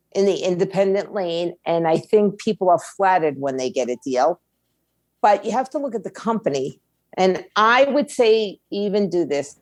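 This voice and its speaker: A middle-aged woman with a slightly low voice, recorded close and dry. She explains things as an interview guest in a candid, plain-spoken way, in conversational sentences. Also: darkish tone, no echo. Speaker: guest, music industry attorney.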